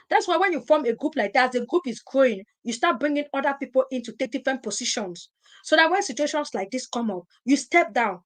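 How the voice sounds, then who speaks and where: A woman hosting a live stream, talking emphatically, repeating her points and firing rhetorical questions. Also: high-pitched. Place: at home in Europe.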